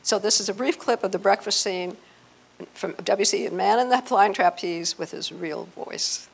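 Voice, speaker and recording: Creaky-voiced older woman presenting. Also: medium pitch, moderate pace, low-quality recording. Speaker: event presenter. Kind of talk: informal talk to an audience. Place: hotel meeting room, indoors. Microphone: handheld microphone.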